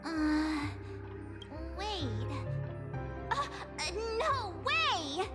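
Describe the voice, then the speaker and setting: A bubbly teenage girl from a video game, very high in pitch. The talk is impulsive, informal and questioning, in a long reverb with clear music behind it. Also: sing-song, extremely slow, heavily acted. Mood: puzzled.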